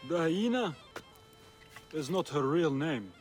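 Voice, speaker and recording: Game merchant: sly informant, sardonic haggler, lightish pitch, soft music behind. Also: male voice, animated, slow pace. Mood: nervous, joking. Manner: sardonic, bargaining. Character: wry, cagey male merchant and informant. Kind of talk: video game cutscene acting.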